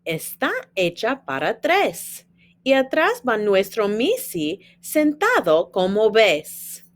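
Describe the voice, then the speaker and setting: A woman's voice: on the high side, sing-song, slow. A woman teacher reading a children's storybook aloud, expressively, with chatty asides and questions to young listeners.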